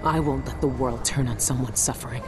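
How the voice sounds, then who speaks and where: An actress playing a hardened but merciful female assassin in a video game, speaking with measured, earnest intensity. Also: extremely low pitch, steady tone. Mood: grave resolve. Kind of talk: video game dramatic acting. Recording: music under the voice. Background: music.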